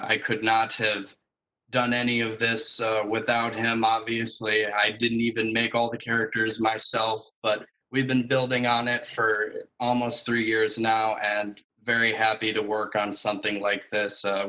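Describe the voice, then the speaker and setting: Young man, bright voice, flat pitch range. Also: unhurried, rough recording. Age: young adult. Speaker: student presenting a capstone project. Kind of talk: semi-formal slide presentation.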